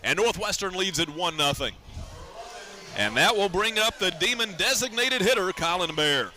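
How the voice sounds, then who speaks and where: An American man calling a baseball game as a radio play-by-play announcer, in a measured, descriptive way. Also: sits high, lively intonation, pushed and bright, faint music behind. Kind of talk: live sports radio commentary.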